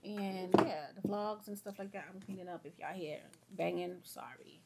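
A woman's voice: lowish voice, normal speed, gravelly, a little hiss or hum. A young woman doing her makeup at home and chatting to viewers, a little sniffly from a sore throat, rambling in a friendly way. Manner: rambling, chatty, talks to viewers as y'all and girl. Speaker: beauty vlogger. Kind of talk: casual get-ready-with-me chat.

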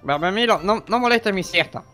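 A man's voice: high voice, lively intonation, brisk, music in the background. A man playing a pompous, sleepy, scatterbrained male authority figure, blustering and stumbling over words in a comic gaming roleplay. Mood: groggy.

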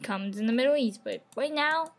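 A woman's voice: sits high, lively intonation, normal speed, soft background music. A young woman losing her voice, chatting casually over gameplay with rambling, half-whispered asides from a webcam at home. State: losing her voice, sore throat. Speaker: gaming channel host. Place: home room.

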